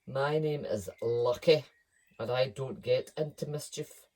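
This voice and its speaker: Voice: mid-range voice, unhurried, natural voice. A reader doing a boastful little lion cub. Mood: proud. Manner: loud, proud, boasting. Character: brave boastful young male lion cub. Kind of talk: storybook reading.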